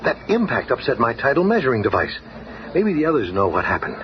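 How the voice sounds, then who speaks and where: A man's voice: mid-range voice, huge pitch swings, fast talker, dull audio. An actor playing an earnest, brainy male scientist hero in a 1970s radio drama. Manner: thoughtful, earnest. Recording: noisy, roomy 1970s radio recording.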